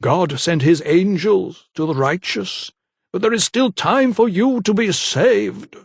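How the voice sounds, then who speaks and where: A man's voice: high voice, gravelly, breathy edge, no room sound, voice pushed up, full character voice. A man voicing a menacing, preachy old vicar. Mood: preaching. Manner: slow, unctuous, preaching. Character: zealous, menacing silver-haired old vicar.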